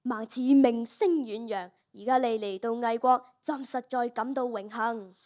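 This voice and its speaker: A woman's voice: on the high side, steady tone, unhurried, darkish tone, natural voice. A girl with a Hong Kong Cantonese accent playing a commanding ancient Chinese king in a radio drama. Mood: admiring. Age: child, primary school student. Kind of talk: school radio drama acting.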